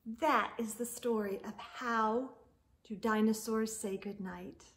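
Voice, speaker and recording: A woman in her forties reads aloud for young children. Her voice sits high and moves at an unhurried pace. She leans on words with animated, drawn-out emphasis, as a picture-book storyteller does. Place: indoors, small room lined with bookshelves.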